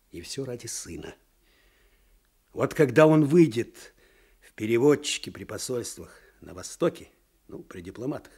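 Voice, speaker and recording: An actor playing a weary, sardonic older provincial clerk in a radio drama, with a husky voice and folksy, wry speech. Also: male voice, medium pitch, darkish tone, heavily acted. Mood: resigned, bitter. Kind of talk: Soviet radio drama acting.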